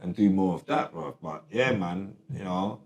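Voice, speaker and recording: A man's voice: low voice, moderate pace, a little mellow. A man, a rapper guest chatting casually in slang and laughing often. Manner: laid-back slang, frequent "you get me", laughs often. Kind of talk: casual interview chat. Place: recording studio. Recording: roomy studio sound.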